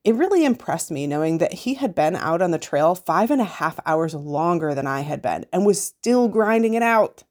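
A woman's voice: on the low side, animated, moderate pace, hardly any pauses, darkish tone. A woman with an American accent, a podcast host reading a written story aloud.

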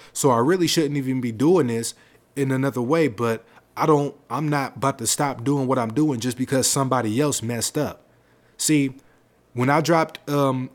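A man with a naturally deep voice, hosting his own podcast in loose, slangy, rambling talk into a close microphone at home. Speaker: podcast host. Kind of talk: casual solo podcast talk. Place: home setup, seated on a leather seat.

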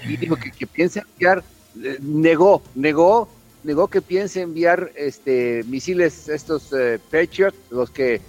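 Opinionated man, fairly high voice, faint music behind. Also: darkish tone, lo-fi sound. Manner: opinionated, colloquial, halting delivery. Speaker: morning radio show commentator. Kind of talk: informal news commentary.

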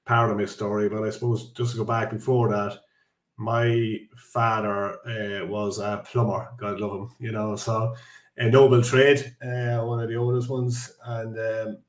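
Irish man, low and flat, echoey. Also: unhurried. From Ireland. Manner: chatty, rambling, with many fillers and restarts. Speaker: guest, construction business director. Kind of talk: casual podcast interview. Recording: echoey room.

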